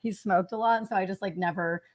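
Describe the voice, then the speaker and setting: A woman with a raspy voice, chatting animatedly as a guest on a live stream and laughing often. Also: medium pitch, quick pace, dry recording. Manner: animated, laughs often, quotes others mockingly. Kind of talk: casual chat on a stream.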